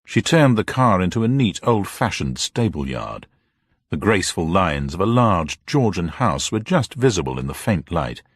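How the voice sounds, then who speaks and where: A man's voice: low-pitched, very animated, moderate pace, breathy edge, dry recording, studio quality. A man narrating a scripted mystery story in a dramatic style.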